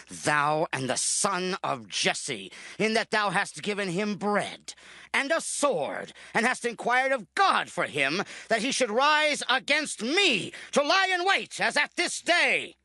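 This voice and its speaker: A paranoid, raging king in a biblical audio drama. His high voice is sharp and forceful, sweeping widely as he declaims. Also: sing-song, slow pace, no room sound, exaggerated voice. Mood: accusing. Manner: declamatory, theatrical, archaic speech. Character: wrathful, paranoid king accusing his servants of treason. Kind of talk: dramatized scripture acting.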